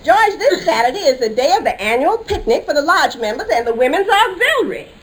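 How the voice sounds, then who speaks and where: A high-voiced, trusting wife in an old radio sitcom. Her chirpy, emphatic lines rise and fall in a lively sing-song. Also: fairly quick, low-quality recording. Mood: cheerful, insistent. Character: trusting, chirpy wife eager for lodge picnic. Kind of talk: 1950s radio sitcom acting.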